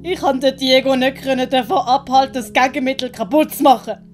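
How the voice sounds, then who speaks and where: A woman voicing a guilt-ridden, halting male servant in a storybook. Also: sits high, fairly even intonation, faint music behind, pitched above the performer's own voice, natural voice. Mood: remorseful. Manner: plaintive, halting.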